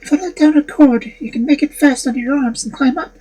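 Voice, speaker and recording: A man voicing a gentle, anxious young captive maiden in a fairy tale, in a breathy falsetto. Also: brisk, poor audio.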